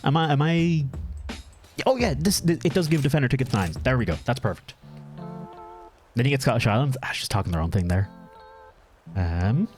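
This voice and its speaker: A man's voice: mid-range voice, expressive, normal speed, long pauses. A man with an Irish accent, chatting casually and self-deprecatingly with his audience as he streams a game, cursing often. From Ireland. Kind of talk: casual live-stream chat while gaming. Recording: music playing behind.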